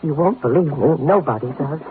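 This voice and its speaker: A man's voice: fairly high pitch, lively intonation, fairly quick, lightly acted. A man playing a timid, fretful little client in his fifties, nervous, hesitant and stammering, in old-time radio drama style. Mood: flustered, despairing. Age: 50s. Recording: tinny, noisy vintage radio recording.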